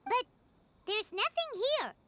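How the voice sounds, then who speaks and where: A woman voicing a tiny, excitable childlike fairy girl in a video game, with a squeaky, perky delivery. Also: slow pace, noisy background, heavily acted. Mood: curious, doubtful. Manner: perky, exclaiming, refers to herself by name.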